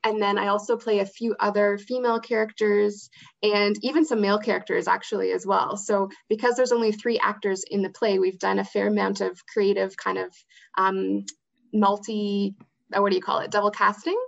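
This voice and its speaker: Woman with a creaky, slightly dull sound. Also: medium pitch, little pitch movement, normal speed. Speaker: interview guest, theatre actor and outreach manager. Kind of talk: casual interview chat.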